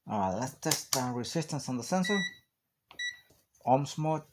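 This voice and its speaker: A man's voice: mid-range voice, lively intonation, unhurried, noisy background. A man giving a hands-on auto repair tutorial, explaining each step in a plain, practical way. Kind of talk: hands-on how-to narration.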